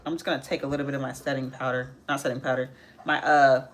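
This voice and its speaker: A woman's voice: rumbling low, little pitch movement, quick pace, slight background noise. A woman in her twenties hosting a live makeup tutorial, chatting casually with viewers and answering their comments as she works. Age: 20s. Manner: chatty, answers viewer comments while working, repeats phrases. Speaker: makeup livestream host.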